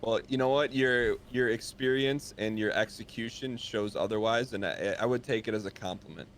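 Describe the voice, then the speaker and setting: A man of thirty chatting as a guest on a gaming stream, teasing and upbeat and quick with a compliment. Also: on the low side, fairly bright tone, a little hiss or hum. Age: 30s. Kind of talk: casual gaming stream chat.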